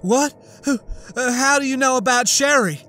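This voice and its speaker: A man's voice: unhurried, a bit bright, faint music behind. A man reading an audiobook, voicing an injured, grief-stricken female scientist and mother who pleads and wails in pain. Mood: frantic. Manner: pained, pleading, turns frantic and shrill. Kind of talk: audiobook character voice.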